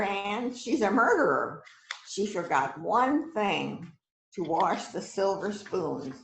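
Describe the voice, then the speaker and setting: Shrewd detective boss, acted by a woman, slow delivery, swooping pitch, amateur mystery play, musing, self-assured. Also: gravelly, a little hiss or hum, full character voice. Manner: deliberate, musing with frequent hmms. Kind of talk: amateur readers theater murder mystery. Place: indoors on a virtual event call. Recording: roomy echoing video call sound.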